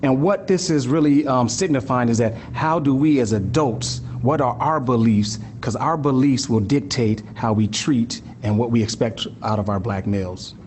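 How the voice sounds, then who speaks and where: An earnest man presents to a live audience at an ordinary, middle pitch. He leans on rhetorical questions and a habitual "right?", slowing down to stress key points. A faint low rumble sits under the recording. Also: darkish tone. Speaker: school district director giving a talk.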